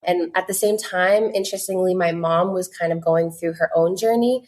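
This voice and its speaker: A woman's voice: fairly low pitch, fairly even intonation, normal speed, a little mellow. A woman, talking casually as a podcast guest, earnest and full of "like" fillers. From United States. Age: 20s. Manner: chatty, many "like" fillers, earnest. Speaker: podcast guest, content creator. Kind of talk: casual interview talk.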